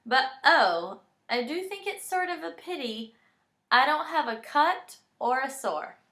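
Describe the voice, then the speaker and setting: Bright-voiced woman reading to a child. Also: sits high, animated, measured pace, reverberant. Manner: affectionate, addressing a young child. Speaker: storyteller reading children's poems. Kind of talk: personal bedtime video message and expressive poem reading for a child.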